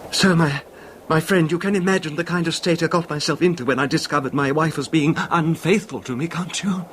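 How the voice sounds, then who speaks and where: An actor as a timid, halting little man. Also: a little high, lightly acted. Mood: nervous, confiding. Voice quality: soft-spoken, sometimes barely audible. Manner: tentative, deferential, halting, overly polite. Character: timid, nervous, apologetic little man hiding unease. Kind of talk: 1970s radio horror drama acting. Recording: faintly noisy old radio recording.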